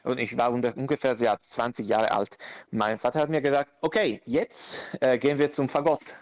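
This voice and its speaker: A man's voice: mid-range voice, fairly even intonation, normal speed, no room sound, highs cut off. A man from Italy with an Italian accent, talking casually in an interview, searching for words and slipping into English. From Parma, Italy. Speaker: interviewee, orchestral bassoonist.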